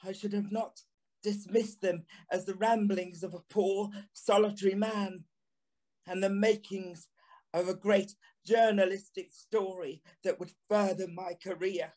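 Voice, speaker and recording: A man's voice: high voice, darkish tone. A man playing a haunted, remorseful journalist, narrating an audio play with brooding, theatrical phrasing. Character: haunted, remorseful journalist recalling an eerie encounter. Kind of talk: dramatic first-person audio play narration.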